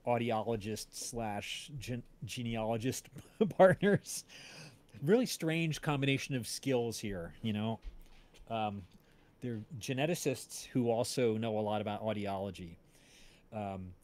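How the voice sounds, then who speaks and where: A man's voice: fairly low pitch, a little mellow. A man hosting a casual livestream chat, rambling along and often searching for words as he tells stories. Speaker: podcast creator and stream host.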